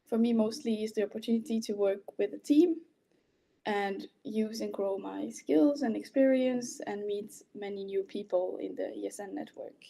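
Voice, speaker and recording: A woman's voice: medium pitch, steady tone, moderate pace, gravelly, dark tone. A woman in her twenties from French-speaking Belgium, a native French speaker, giving an informal prepared presentation as a candidate. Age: 20s.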